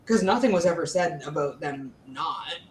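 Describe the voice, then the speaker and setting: A woman's voice: sits low, lively intonation, normal speed, a little mellow. A woman co-hosting a casual book discussion, speaking in slangy, incredulous bursts with stop-and-start emphasis. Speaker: co-host of a book readalong live show. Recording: roomy echo, faint background noise.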